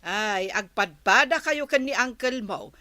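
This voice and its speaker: A woman's voice: fairly high pitch, expressive, measured pace, pushed and bright. A woman with an Ilocano accent playing an exasperated, scolding member of a household in an audio drama.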